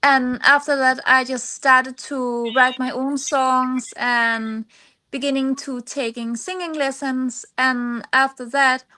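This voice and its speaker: A woman from Denmark with a Danish accent, answering personal interview questions in halting English as she searches for words. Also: high-pitched, fairly even intonation, pushed and bright. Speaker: singer-songwriter guest.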